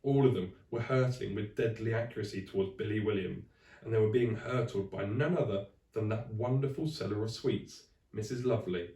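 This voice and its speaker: A man reading a children's story aloud in expressive narration, like a teacher to a class. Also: on the low side, brisk, far from the mic. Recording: echoey room, away from the mic.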